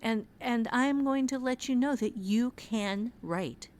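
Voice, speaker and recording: A woman's voice: on the high side, slow pace, a little mellow. A woman speaking as a writing teacher in a conversational podcast, earnest and emphatic, repeating phrases to drive her point home.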